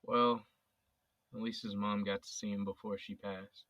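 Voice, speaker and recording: A man's voice: lowish voice, level tone, unhurried, fairly bright tone, no room sound. An actor playing a sincere young male police officer who talks casually and earnestly with his best friend. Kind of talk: audio drama acting. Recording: dull, dry, lo-fi recording.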